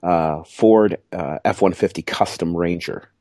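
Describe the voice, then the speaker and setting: Middle-aged man for a podcast co-host: low-ish, dry close mic, rambling self-mocking humor. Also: unhurried, a little mellow, no room sound. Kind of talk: casual chat.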